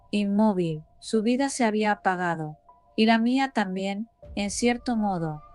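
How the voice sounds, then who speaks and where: A woman's voice: medium pitch, a little mellow. A woman reading a scripted first-person horror story as a narrator. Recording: voice over background music. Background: music.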